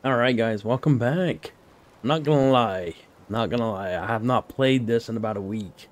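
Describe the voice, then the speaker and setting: A man with a sore, slightly hoarse throat, casually commenting on his own gameplay as a channel host. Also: mid-range voice, moderate pace. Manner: relaxed, chatty, thinking aloud.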